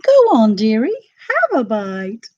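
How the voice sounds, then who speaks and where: A woman's voice: on the high side, huge pitch swings, takes it slowly, a little mellow, highs cut off, exaggerated voice. A woman voicing a wicked old hag queen in a children's storybook, sly, menacing and gloating. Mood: coaxing.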